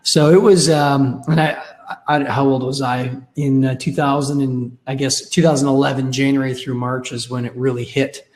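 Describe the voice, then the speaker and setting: A Canadian man in his thirties with a raspy voice from a cold, telling his story candidly and wryly as a podcast guest. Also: medium pitch, little pitch movement, moderate pace, darkish tone. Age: 30s. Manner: candid, wry. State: has a cold. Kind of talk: casual interview storytelling.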